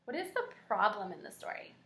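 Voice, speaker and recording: A young woman teaching kindergarten, reading aloud and prompting children with animated, encouraging questions. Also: medium pitch, brisk, creaky. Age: young adult. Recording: echoey indoor room.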